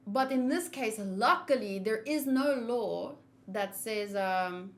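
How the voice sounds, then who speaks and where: A woman's voice: medium pitch, lively intonation, slow pace. A woman giving casual live game commentary, explaining her opinions in a chatty way as a streamer.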